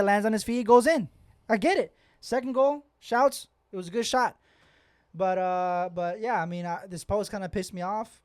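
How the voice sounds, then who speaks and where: A man's voice: sits high, darkish tone, dry recording, clean studio sound. A man co-hosting a football podcast in casual banter, blunt and slangy, swearing and repeating phrases insistently. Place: podcast studio.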